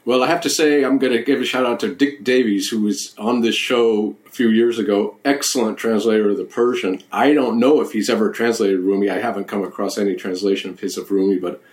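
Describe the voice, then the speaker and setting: A man hosting a conversational interview, thoughtful and halting, with frequent restarts. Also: fairly low pitch. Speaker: host.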